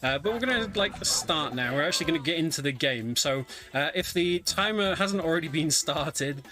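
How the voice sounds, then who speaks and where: Bright-voiced man commentating a game run. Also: on the high side, animated, moderate pace. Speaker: speedrunner commentating his run. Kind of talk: casual live game commentary. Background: video game music.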